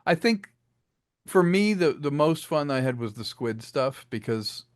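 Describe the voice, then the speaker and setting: A man's voice: a little high, lively intonation, a little slow, no room sound. Man chatting in a dry-sounding interview. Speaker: interview guest, audio drama creator and actor. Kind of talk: casual interview talk.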